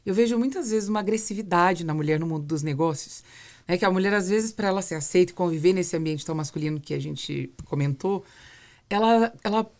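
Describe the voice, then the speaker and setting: A woman's voice: fairly low pitch, fairly quick, darkish tone. A Brazilian woman hosting a conversational interview, setting out her views in an articulate way and building long, reflective questions. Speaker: podcast host, interviewer.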